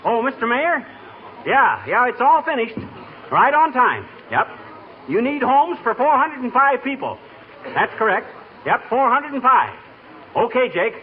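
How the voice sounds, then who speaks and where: A man's voice: very high-pitched, very animated, unhurried, slight background noise, heavily acted. A man playing a cocky, scheming middle-aged husband with brash, folksy talk in a 1940s radio comedy. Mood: excited, cocky. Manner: folksy, brash, clipped exclamations. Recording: muffled 1940s radio recording with music.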